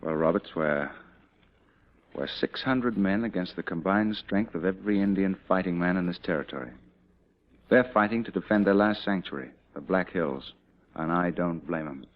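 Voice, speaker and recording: An actor playing a confident cavalry commander and devoted husband, in mid-century dramatic style. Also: male voice, sits low, moderate pace, lightly acted. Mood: grave, resigned. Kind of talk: mid-20th-century American dramatic acting. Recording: dull old radio-era drama recording.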